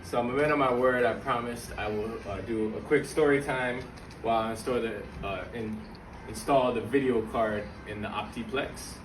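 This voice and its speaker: A man with a mid-pitched voice talks like a casual YouTube host, using slang and speaking right to the viewer. He moves between hands-on explaining and personal storytelling. The homemade recording carries clear background noise. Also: steady tone, moderate pace. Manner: informal, slangy, addresses viewers directly. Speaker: YouTube vlogger and channel host.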